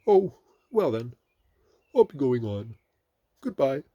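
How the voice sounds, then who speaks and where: A man's voice: mid-range voice, sing-song, slow pace, warm tone, no echo, dull audio, pitched above the performer's own voice, heavily acted. A man voicing a bumbling, good-natured, greedy toy bear from a children's storybook, hesitant and given to exclaiming. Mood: polite, eager. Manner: hesitant, repeating himself, exclaiming.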